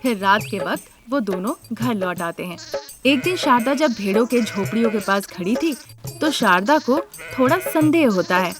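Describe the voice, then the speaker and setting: A woman narrates a scripted tale. Her voice sits fairly high. Music is clearly audible underneath her narration. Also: expressive, normal speed.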